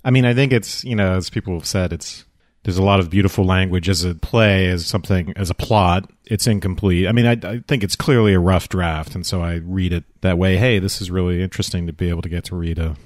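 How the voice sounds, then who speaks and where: Male panelist, low voice, casual literary chat, very dry recording. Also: steady tone, quick pace, dry recording. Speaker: panel participant.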